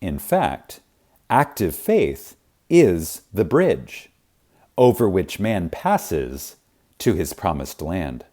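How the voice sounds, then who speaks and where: A man narrating a spiritual audiobook in a reverent, emphatic manner, with deliberate pauses. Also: sits low, very animated, warm tone. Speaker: audiobook reader. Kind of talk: scripted narration of a spiritual self-help audiobook.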